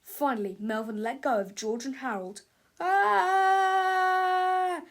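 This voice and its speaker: A woman narrating a children's book as an expressive read-aloud. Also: a little high, moderate pace. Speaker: storybook narrator.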